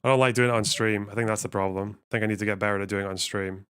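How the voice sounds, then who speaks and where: A man's voice: fairly low pitch, fairly even intonation, very fast, fairly bright tone, smooth. A man hosting a livestream, thinking aloud in a rambling, wry way while reading out chat. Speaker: livestream host.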